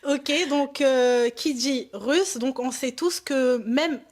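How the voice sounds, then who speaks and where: A woman's voice: sits high, darkish tone. A woman with a Moroccan accent hosting a podcast interview, mixing French and Moroccan Arabic. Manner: switches between French and Darija, quick intro. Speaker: podcast host.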